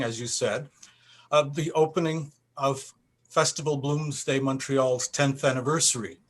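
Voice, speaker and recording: Male interviewer and event host, mid-pitched. Formal, courteous, scripted-sounding delivery. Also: a bit bright. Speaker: interviewer, festival president. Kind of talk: prepared introduction and interview questions.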